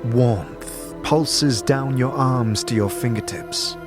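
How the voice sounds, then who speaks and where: A man narrating a dark fantasy audio drama in the second person, with measured, solemn, dramatic storytelling. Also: mid-range voice. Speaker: narrator and game master. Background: music.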